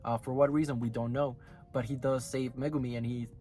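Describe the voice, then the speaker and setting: A man runs his anime review video in a casual, rambling way, often stopping to correct himself mid-sentence. He is sick, and his voice sounds hoarse and raspy throughout. His voice sits at an ordinary middle pitch, with soft music faintly underneath. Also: little pitch movement, fairly quick.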